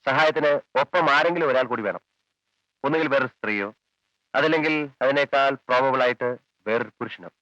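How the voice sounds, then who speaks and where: An actor playing a calm male police officer who questions a witness with measured, probing lines in a 1980s film. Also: medium pitch, no echo, dull audio, lightly acted. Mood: reasoning. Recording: dry 1980s film soundtrack, faint music.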